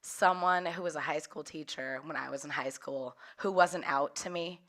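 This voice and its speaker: A young woman telling stories to a workshop group. Her voice sits a bit low but sounds bright and forceful, with a hoarse, slightly cracky edge from getting over a lost voice. She speaks openly and laughs often. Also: normal speed. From Central Valley of California. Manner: warm, open storytelling with frequent laughs. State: hoarse, recovering from losing her voice. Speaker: workshop leader. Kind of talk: personal storytelling talk.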